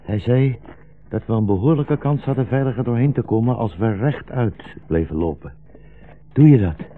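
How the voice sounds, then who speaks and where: An actor playing a weary, resigned old blind rabbi in a 1960s radio drama, measured and formally polite, with a meek irony. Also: male voice, medium pitch, animated, lightly acted. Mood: tired, reassuring. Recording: dull 1960s radio recording, music behind.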